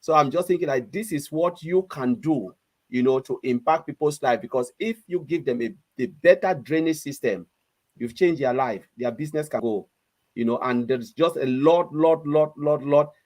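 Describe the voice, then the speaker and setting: Male panelist, Cameroonian accent, voice on the higher side, earnest unscripted talk. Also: moderate pace, darkish tone. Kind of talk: casual, unscripted discussion.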